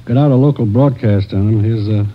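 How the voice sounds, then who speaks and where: An actor playing a weary, businesslike police lieutenant, matter-of-fact, in a radio drama. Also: male voice, lowish voice, fairly quick, natural voice. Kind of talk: 1950s radio drama acting. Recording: muffled vintage radio recording.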